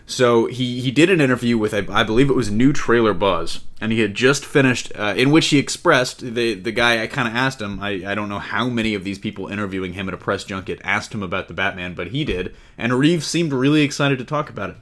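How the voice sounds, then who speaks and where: A man's voice: lowish voice, fairly quick, hardly any pauses, a bit bright. A man hosting a podcast in a casual, chatty style, bantering and joking with his co-hosts. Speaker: podcast host. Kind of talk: casual podcast chat about comic-book film news.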